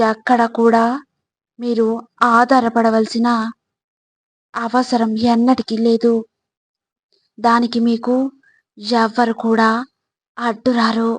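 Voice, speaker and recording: Female narrator, scripted spiritual motivation. Very slow, level delivery in measured phrases. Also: fairly high pitch, no room sound.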